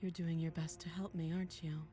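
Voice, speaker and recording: A woman playing a calm, nonchalant captive princess with a fierce streak in a visual novel game. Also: low-pitched, brisk, faint music behind, natural voice. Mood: composed, cool. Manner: calm, matter-of-fact.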